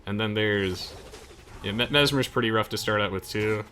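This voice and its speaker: A man's voice: lowish voice, normal speed, sharp tone, slight background noise. A young man giving casual video game commentary to friends as the host of a playthrough. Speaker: let's play host. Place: game voice chat with music behind.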